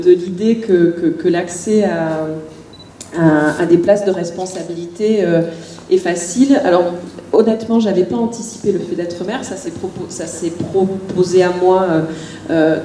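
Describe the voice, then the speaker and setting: Soft-toned woman mayor in echoey hall. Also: fairly low pitch, moderate pace, far from the mic, slight background noise. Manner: hesitant, many restarts and fillers. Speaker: village mayor. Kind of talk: panel discussion answer.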